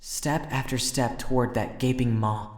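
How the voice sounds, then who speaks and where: A man's voice: mid-range voice, fairly even intonation, measured pace, a little hiss or hum, close to an everyday voice. Man as earnest teenage boy, echoey narration. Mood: tense, solemn. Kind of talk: dramatic first-person narration, anime fandub. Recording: roomy echo, loud music behind.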